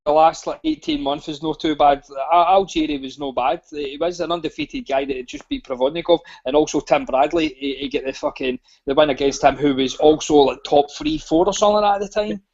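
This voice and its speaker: Gravelly, blunt man. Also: on the high side, expressive, a little fast, hardly any pauses, darkish tone, lo-fi sound. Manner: blunt, swears a lot, argumentative. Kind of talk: casual chat about boxing.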